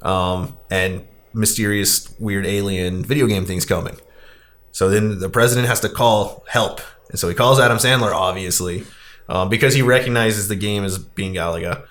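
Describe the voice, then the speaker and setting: Low-pitched man recapping casually. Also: normal speed, fairly bright tone. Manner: conversational, heavy use of "like". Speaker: podcast host. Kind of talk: casual movie discussion, recapping a plot.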